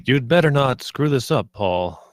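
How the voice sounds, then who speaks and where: A man's voice: mid-range voice, animated, a little slow, lightly acted. A man playing an exasperated, impatient spaceship captain with a curt, irritated manner in a comedy table read.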